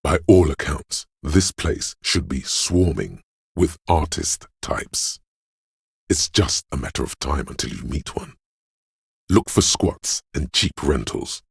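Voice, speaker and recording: A suave cocky money-mad hustler in a video game, super raspy, bone dry close sound, theatrical. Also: male voice, lively intonation, slow, no room sound, exaggerated voice. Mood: smug, scornful. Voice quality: gravelly, husky bass. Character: swaggering, money-obsessed suave inner voice, a slick hustler. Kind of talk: video game voice acting, dramatic narration.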